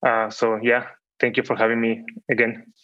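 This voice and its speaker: Polite man from Argentina, bright, tense voice. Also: medium pitch, completely flat delivery. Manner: polite and measured, with fillers. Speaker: panel guest, bank business unit head. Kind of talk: guest introduction. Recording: dull lo-fi audio.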